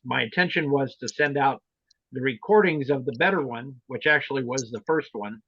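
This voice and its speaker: A man talks through training matters in an informal, halting and meandering way. His voice is husky and gravelly, heard over roomy online meeting audio. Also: mid-range voice, highs cut off. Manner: hesitant, rambling, explanatory. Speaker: meeting leader and trainer of volunteer club officers.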